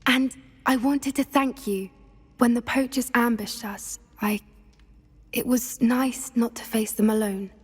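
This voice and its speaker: An actress voicing a gentle, shy young female student in a video game, soft and earnest. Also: a little high, fairly even intonation, husky. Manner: soft, earnest, a little halting. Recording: reverberant with music.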